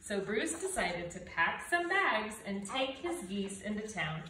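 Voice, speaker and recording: A middle-aged woman reading a children's storybook aloud in an animated way, with dramatic emphasis. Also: mid-range voice, unhurried. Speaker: storybook reader. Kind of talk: expressive children's read-aloud. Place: indoors, living room by brick fireplace and window. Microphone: camera a few feet away. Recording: roomy, distant mic, faint noise.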